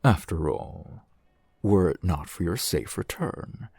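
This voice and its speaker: A man playing a suave, shrewd fantasy shopkeeper in an audio roleplay, speaking in measured, formal, wry phrases with a gravelly, husky voice, close to the mic. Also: low-pitched, expressive, a little mellow, dry recording, full character voice. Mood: sly, businesslike. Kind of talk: fantasy ASMR roleplay acting. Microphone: close microphone, dry sound.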